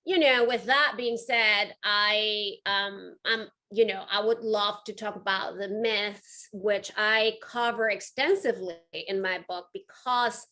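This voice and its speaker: A woman's voice: mid-range voice, fairly even intonation, slow pace, few pauses, sharp tone. A woman with a Venezuelan accent giving a conversational talk to an audience as a guest speaker, earnest and emphatic. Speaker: guest speaker, art curator and entrepreneur.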